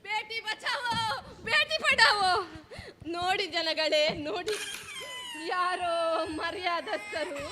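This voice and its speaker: A woman's voice: very high-pitched, slow, background noise. A woman teacher acting a starving destitute woman who eats from a rubbish bin, weeping and pleading on a school stage. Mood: anguished, weeping. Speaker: school teacher performing a solo act. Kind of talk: stage monologue at a school event.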